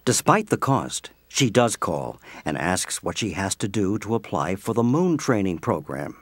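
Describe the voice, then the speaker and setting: A man narrating an audio play from a script as an expressive storyteller. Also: sits low, measured pace, husky, no room sound, heavily acted.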